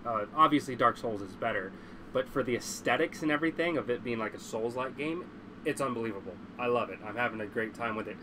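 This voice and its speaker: A man with a congested, mid-pitched voice talks off the cuff to his livestream. He is blunt and opinionated and swears freely. A clear layer of background noise sits under his voice. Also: quick pace. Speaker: livestream host. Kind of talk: casual livestream chat.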